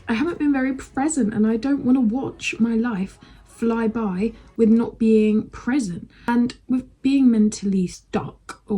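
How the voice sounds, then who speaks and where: Young British woman, 19, mellow breathy voice, frank rambling vlogger who swears freely. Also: medium pitch. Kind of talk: casual to-camera chat.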